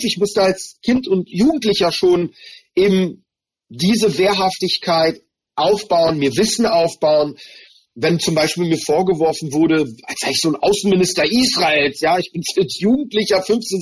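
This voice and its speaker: A man with a slightly husky voice that sits a little high for a man. He is a native Hebrew speaker raised in Germany. He talks through his experiences earnestly and thoughtfully, stopping now and then to search for the right word. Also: moderate pace. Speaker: interview guest, rapper. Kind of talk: personal reflective interview talk.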